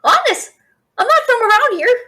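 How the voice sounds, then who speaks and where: A woman's voice: very high voice, very animated, sharp tone, heavily acted. A young woman playing a cheeky, frightened thief who stammers and bluffs in a fantasy audio roleplay. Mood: flustered, placating. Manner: nervous, stammering, fast-talking bluffer. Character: cheeky, scared young female thief, talking her way out.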